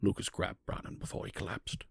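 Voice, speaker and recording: A man narrating a dark fantasy tale as a solemn storyteller, reading from a script. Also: brisk, no echo, clean studio sound, lightly acted.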